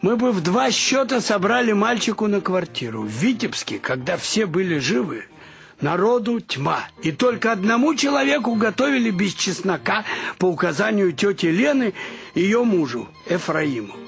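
Here is an excitable, nostalgic grandfather from a radio play. His thin voice sits very high and creaks, with lively swings in pitch. Soft music plays faintly behind him. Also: moderate pace, heavily acted. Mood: wistful reminiscing. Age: older.

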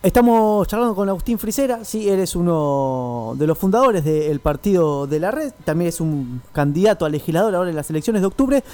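A male radio co-host with a Buenos Aires Argentine accent. His voice sits high for a man as he handles an informal interview. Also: lively intonation, a little fast, hardly any pauses, dark tone. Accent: Buenos Aires Argentine Spanish.

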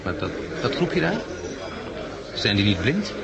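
An actor playing a practical, serious survivor of a catastrophe, matter-of-fact, in a 1960s Dutch radio drama. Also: male voice, medium pitch, sing-song, a little slow, creaky, a loud crowd. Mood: serious, concerned.

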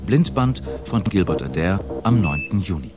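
A man announcing upcoming radio crime dramas in a smooth, scripted trailer narration. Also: low-pitched, sing-song, moderate pace, over loud music.

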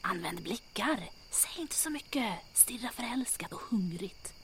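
A woman playing a bold, bossy adventurer in a comic audio drama, brisk and teasing in her delivery. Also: mid-range voice, animated, a bit bright, a little hiss or hum, close to an everyday voice.

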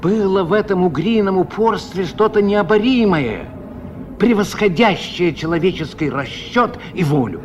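A man giving measured, expressive literary narration in the style of 1970s Soviet radio drama. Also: sits high. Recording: dull, faintly noisy old radio recording.

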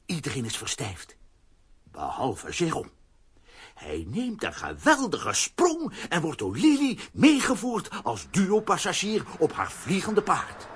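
A man narrating a children's radio adventure dramatically, in a rough, husky voice. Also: a little high, huge pitch swings, slow, a bit bright, full character voice. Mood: dramatic. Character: animated storyteller of a children's adventure.

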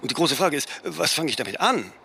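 A grand older designer type, bit high pitched, haughty and full of aphorisms, radio drama with noise behind. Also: male voice, very animated, fast talker, gravelly, pushed and bright, full character voice. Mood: aloof, musing. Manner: aphoristic, haughty, tags sentences with "ne?". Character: imperious, self-mythologizing celebrated older fashion designer. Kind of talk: German radio play dialogue and monologue.

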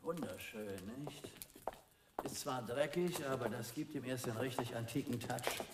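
A man's voice: mid-range voice, unhurried, lightly acted. An actor playing a wry, bookish American man with a dry, morbid humour in a German radio drama. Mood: musing. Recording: very noisy 1980s radio drama recording.

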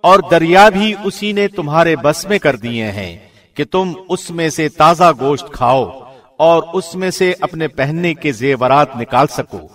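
Higher-pitched man, solemn formal reading. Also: expressive, fairly bright tone. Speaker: professional radio host reading translation. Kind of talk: formal scripted reading of scripture translation.

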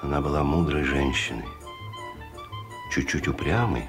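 A man narrating a 1970s radio drama in a calm, even storytelling manner. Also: a bass voice, normal speed, over music.

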